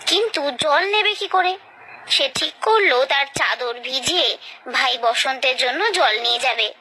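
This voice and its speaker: A woman's voice: sits high, bright, forceful tone, low-quality recording. A woman narrating a scripted children's story, expressively and directly addressing her young listeners.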